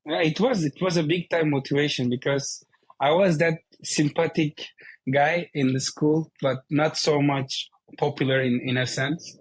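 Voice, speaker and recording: Turkish-accented man speaking in a flat, even tone. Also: fairly high pitch, normal speed, husky, no echo, poor audio. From Turkey. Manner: explains plainly in non-native English. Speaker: guest, company CEO. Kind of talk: casual interview conversation.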